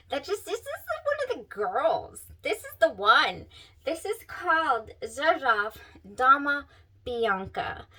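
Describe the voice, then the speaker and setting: A woman's voice: a little high, sharp tone, recorded from a distance, low-quality recording. Nasal, stuffed-up woman gushing. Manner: gushing, breathy sighs over scents. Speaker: perfume reviewer, channel host. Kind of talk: casual talk to camera.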